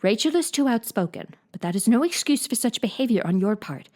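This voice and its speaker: A woman's voice: fairly high pitch, expressive, a little fast, darkish tone, pitched above the performer's own voice. A woman voicing a strict middle-aged guardian who speaks severely and dryly. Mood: stern. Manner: severe, dry.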